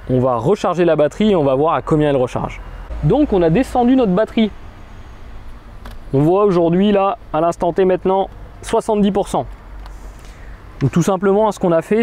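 A man's voice: high voice, dark tone. A man in his thirties casually explaining equipment outdoors beside a car, chatty with frequent fillers. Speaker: product demonstrator. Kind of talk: casual product demo.

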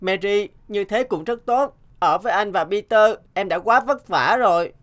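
A woman voicing a gentle, earnest young boy, a protective older brother, in a cartoon dub. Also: medium pitch, normal speed, lightly acted. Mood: gentle, caring. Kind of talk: dubbed cartoon dialogue.

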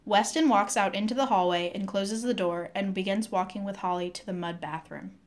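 Female narrator for an audio drama script, mid-pitched, reading stage directions. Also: a little fast, slight background noise.

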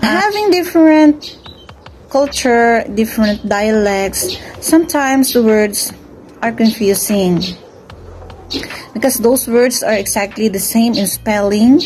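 A young woman from the Philippines, a first-year student, slowly reading a class report. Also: fairly high pitch, a little mellow, slight background noise, poor audio. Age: young adult. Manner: slow, careful reading. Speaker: student presenter.

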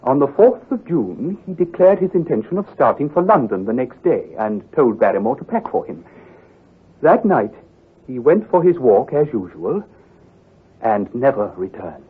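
An actor playing an earnest, troubled country doctor who speaks gravely and precisely, with some hesitation, in old-time radio drama style. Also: male voice, medium pitch, very animated, normal speed. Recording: muffled, husky old radio recording.

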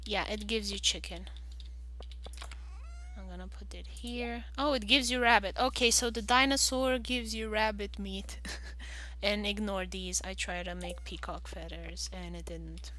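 A woman's voice: mid-range voice, fairly even intonation, a little slow, pushed and bright. A woman giving casual game walkthrough commentary, thinking aloud in a hesitant way. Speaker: gaming video host.

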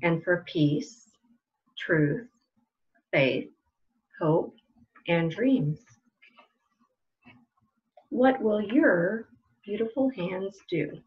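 A woman's voice: on the low side, very slow, pauses often. Dull-sounding middle-aged woman, story time host. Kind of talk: children's story time read-aloud and craft instructions. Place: indoors in a room at home. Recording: dull, lo-fi home webcam audio.

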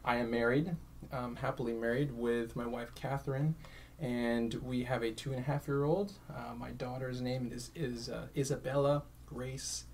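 A man with a soft, creaky voice talks casually as a podcast guest. His pitch is average, and faint noise from the room's ambience sits behind him. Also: steady tone, moderate pace. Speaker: podcast guest, campus ministry worker. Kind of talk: casual chat.

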